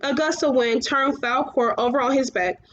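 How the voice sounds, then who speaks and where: High-voiced woman reading a storybook aloud. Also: highs cut off. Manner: halting, often stumbles and rereads phrases. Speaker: storybook reader. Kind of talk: read-aloud children's fantasy narration.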